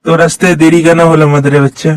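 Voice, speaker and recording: Flat-toned, teasing cartoon troublemaker played by a man. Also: on the high side, quick pace, a little hiss or hum, natural voice. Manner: mocking, slangy. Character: teasing, scheming village buddy, rough-mouthed troublemaker. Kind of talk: comedy cartoon dialogue.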